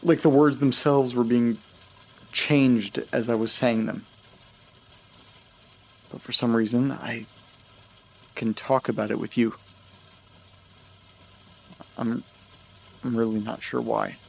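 An actor playing an anxious young man who can't remember the past year, talking in a hushed, stammering way. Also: medium pitch, steady tone, takes it slowly, vocal fry, long pauses. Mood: nervous. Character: anxious young man haunted by memory loss. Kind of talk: audio drama acting.

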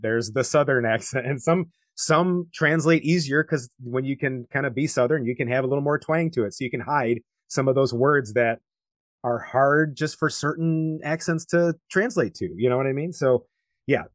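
A man's voice: mid-range voice, dry recording. Guy on a podcast, laid back n chatty, mid voice, dry close audio. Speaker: podcast co-host. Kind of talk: casual chat.

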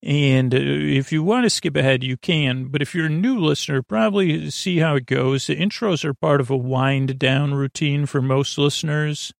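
A man with a creaky voice hosting a sleep podcast in a meandering, hesitant, lulling and self-deprecating monologue full of tangents. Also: on the high side, moderate pace, few pauses, no echo, studio quality. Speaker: podcast host.

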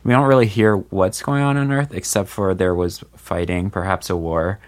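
A man's voice: deep voice, fairly even intonation, normal speed. A man hosting a podcast in casual chat, steering the talk and teasing his co-hosts. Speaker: podcast host.

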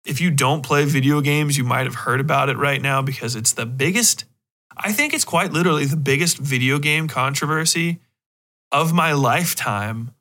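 A man hosting a podcast in a casual, rambling monologue, animated and swearing freely. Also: medium pitch. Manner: rambling, animated, heavily profane. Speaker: podcast host.

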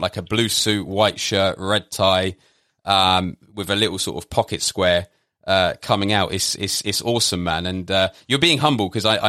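A man hosting a podcast interview, upbeat and lively as he asks questions. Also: lowish voice, dry recording, clean studio sound. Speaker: podcast host.

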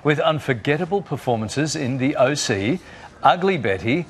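A man's voice: on the high side, animated, normal speed, a little hiss or hum. A man co-hosting a breakfast TV interview, jovial and laughing easily. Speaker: morning show host.

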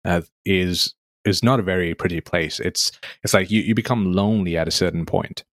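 A man's voice: sits low, normal speed, no echo, studio quality. A man from Nepal hosting a podcast interview, talking casually and leaning on "like" and "um" as he asks questions. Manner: casual and conversational, with frequent "like" and "um" fillers. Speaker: podcast host.

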